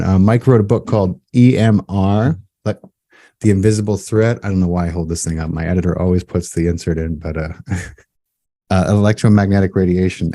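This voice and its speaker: A man's voice: deep voice, dark tone, no room sound, clean studio sound. A man hosting a podcast in casual chat, laid-back and slangy as he introduces and questions his guest. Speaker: podcast host.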